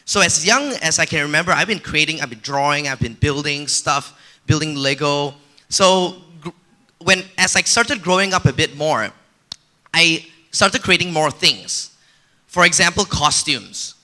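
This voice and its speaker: A man from Malaysia giving a conference talk on stage in a relaxed, personal way with frequent fillers. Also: a little high, unhurried. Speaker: conference speaker. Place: conference stage before an audience.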